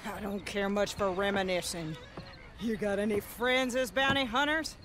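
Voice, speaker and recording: A woman's voice: mid-range voice, fairly even intonation, moderate pace, fairly bright tone, slight background noise, close to an everyday voice. An actress playing a tough, wily female Wild West gunslinger in a video game, with a sardonic drawl. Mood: wry, defiant. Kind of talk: video game cutscene acting. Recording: game audio under prominent music.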